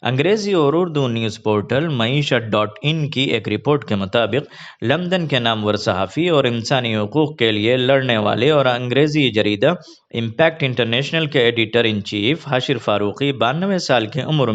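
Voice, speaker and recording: A man reads scripted news in a formal, measured announcer manner. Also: medium pitch, animated. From India. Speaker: news presenter. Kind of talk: scripted news reading in formal Urdu register. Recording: dull lo-fi online video audio.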